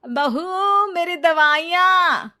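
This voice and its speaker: A woman voicing a caring mother-in-law in a cartoon family story, with a measured, dramatic manner. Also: very high voice, expressive, sharp tone, no room sound, clean studio sound, full character voice. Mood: concerned. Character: caring, plain-spoken Punjabi mother-in-law. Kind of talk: cartoon moral story dialogue.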